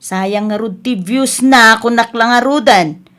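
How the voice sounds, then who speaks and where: A woman's voice: on the high side, unhurried, a bit bright. A woman acting a brash, money-minded, stubborn vlogger in an Ilocano radio comedy drama, exclamatory and dismissive.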